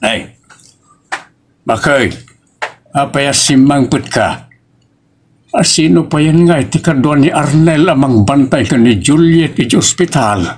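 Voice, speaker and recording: A man's voice: on the high side, expressive, unhurried, long pauses, slightly rough. A man with a Filipino accent playing a concerned, consoling older father and husband in a family audio drama. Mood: worried. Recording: music under the voice.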